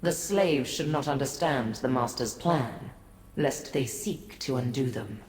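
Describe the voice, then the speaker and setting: An actress playing an ancient, aloof godlike being in a fantasy game, speaking formally and with haughty, cryptic condescension. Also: female voice, a bass voice, a little mellow. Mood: cryptic, solemn. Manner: measured, formal, condescending to mortals. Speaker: video game character. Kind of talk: scripted fantasy game dialogue. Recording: echoey, long reverb.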